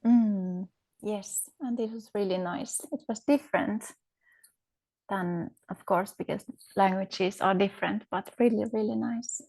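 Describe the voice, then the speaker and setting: A woman's voice: mid-range voice, measured pace, gravelly, mellow, rough recording. A woman with a Finnish accent, a podcast guest speaking thoughtfully, often searching for words with fillers and restarts. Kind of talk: reflective interview conversation.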